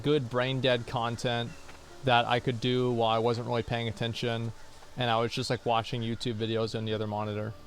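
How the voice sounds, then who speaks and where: A young man talks casually into a desk mic while he plays a game on stream. He answers viewers' questions bluntly, wandering off mid-thought and circling back. A faint background hum sits under his voice. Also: medium pitch. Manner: rambling, blunt, reads out and answers chat questions. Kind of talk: casual chat with livestream viewers while gaming.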